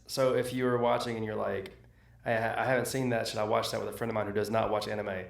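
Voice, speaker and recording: Husky, gravelly-voiced man, off mic. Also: lowish voice, little pitch movement, recorded from a distance. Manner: jokey, quick asides. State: husky voice today. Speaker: podcast co-host. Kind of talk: casual podcast chat. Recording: echoey room, a bit off mic.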